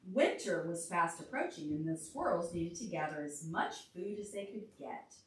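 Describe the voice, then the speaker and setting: A woman reading aloud to young children as a storytime librarian, pausing to put questions to them. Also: lowish voice, expressive. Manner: asks the children questions along the way. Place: indoor library storytime room. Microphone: distant room microphone. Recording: echoey room, distant mic, faint noise.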